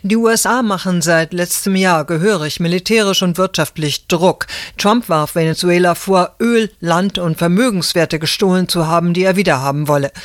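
A woman correspondent delivering a news report in a clear, measured way. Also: on the low side, no echo, clean studio sound.

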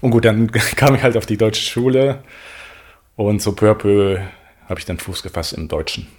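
Low-voiced halting Spanish-native man. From Venezuela. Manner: halting, searching for words with many restarts. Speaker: interviewee. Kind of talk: casual interview answers.

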